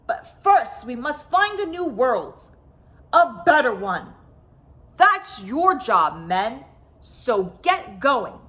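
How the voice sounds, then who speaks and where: A woman's voice: on the high side, big pitch swings, slow pace, fairly bright tone, heavily acted. A woman voicing a blustering, impatient male world chief in a storybook, with a barking, commanding manner. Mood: urgent, commanding. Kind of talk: storybook character voice.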